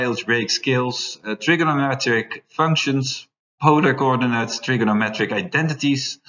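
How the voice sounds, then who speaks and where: A man's voice: medium pitch, pushed and bright. Lecturing man in an echoey classroom. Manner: explanatory, gesturing at a whiteboard, frequent uh fillers. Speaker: university lecturer. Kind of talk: recorded math lecture. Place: classroom with whiteboard, indoors. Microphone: far camera mic. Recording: dull, roomy classroom sound.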